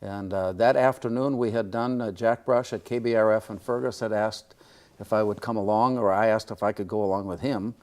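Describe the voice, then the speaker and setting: Older man, lowish voice, radio announcer as guest, measured, hesitant, reminiscing. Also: fairly even intonation, darkish tone. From Minnesota, USA. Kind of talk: reminiscing in interview.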